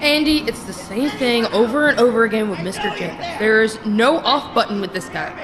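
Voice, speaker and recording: A woman's voice: fairly high pitch, brisk, a crowd in the background, lightly acted. A woman playing a weary, bitter psychiatric ward nurse with an emphatic manner in a horror audio drama. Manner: exasperated, emphatic.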